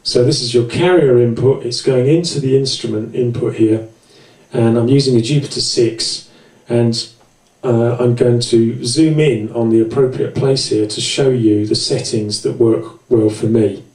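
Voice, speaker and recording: A man presenting an informal hands-on synthesizer tutorial in a conversational, explanatory way, with hesitant pauses. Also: mid-range voice, fairly even intonation, darkish tone. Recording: roomy echo, distant microphone.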